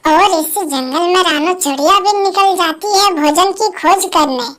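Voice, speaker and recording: A woman narrates a children's animal story in a very high voice. Her storytelling is slow and simple, in a storybook style. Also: fairly bright tone.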